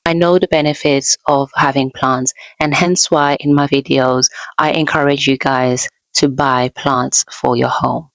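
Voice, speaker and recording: A woman's voice: sits low, no room sound. A young woman originally from the Democratic Republic of Congo, seated indoors and speaking earnestly to camera with encouraging advice for women. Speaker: vlogger, landscape architect. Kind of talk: motivational talk to camera.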